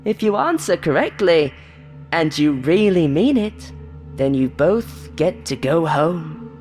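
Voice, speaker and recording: A woman voicing a monstrous game show host in a horror story, falsely friendly and hateful, with a taunting, showy, drawn-out delivery. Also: low-pitched, very animated, darkish tone, long reverb tail, soft background music, heavily acted. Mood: taunting, menacing.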